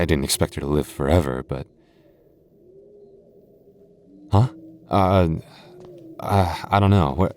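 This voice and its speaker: A man plays a gentle goth boyfriend with a low-pitched voice. He talks in a musing, hesitant way, as if to someone close beside him in an intimate audio roleplay. He comes across as sentimental and tender. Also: close to an everyday voice. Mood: wistful, puzzled. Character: gentle, sentimental goth boyfriend lost in endless haunted street.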